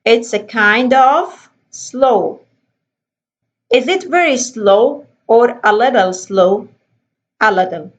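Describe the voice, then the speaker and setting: A woman teaching an English lesson, explaining slowly and deliberately and reading questions aloud. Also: a little high. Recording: dull lo-fi voice recording.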